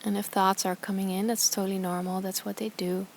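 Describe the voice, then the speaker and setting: Soft soothing woman yoga teacher doing a guided meditation, barely any pitch movement, some background noise. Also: creaky. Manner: calm, soft, soothing, with slow pauses between phrases.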